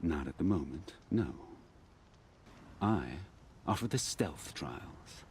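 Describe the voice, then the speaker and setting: A man playing a solemn, patient keeper of hunting grounds in a video game, with measured, deliberate speech. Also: sits low, animated, a little mellow, slight background noise. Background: music.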